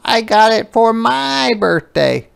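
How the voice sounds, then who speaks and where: A man voicing an excitable, possessive little girl in a childlike, emphatic way for a storybook. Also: slow pace, creaky, clear, resonant tone, heavily acted. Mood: defiant, yelling. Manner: high-pitched, emphatic, childlike.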